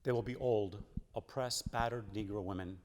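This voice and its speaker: A man reading a written letter aloud in a solemn way, with measured, deliberate phrasing. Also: fairly low pitch, darkish tone, slight background noise. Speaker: church member reading aloud. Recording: long echoing reverb.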